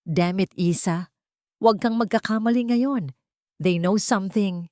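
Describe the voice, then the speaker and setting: A woman's voice: medium pitch, measured pace, darkish tone, dry recording, studio quality, natural voice. A woman storyteller voicing an angry, fearful husband who snaps and accuses in a narrated drama. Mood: alarmed, angry. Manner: snapping, accusing.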